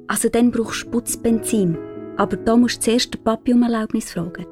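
An actress playing a practical, mildly curious aunt with a Swiss German accent in a children's audio drama. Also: female voice, on the high side, fairly quick, over music, natural voice. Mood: curious, matter-of-fact.

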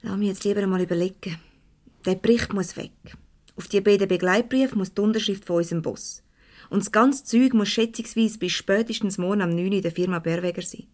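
Brisk, exasperated Swiss German secretary played by an actress. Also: female voice, mid-range voice, steady tone, a little mellow, natural voice. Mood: brisk, resolute. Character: brisk, conscientious female office secretary, easily exasperated. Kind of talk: 1970s Swiss radio comedy acting.

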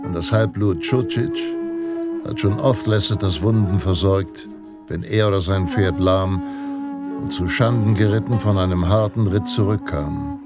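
An actor playing a grave, self-assured Western pulp narrator in a radio drama, speaking in a measured, dramatic way. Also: male voice, low voice. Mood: wry, self-assured. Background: guitar music.

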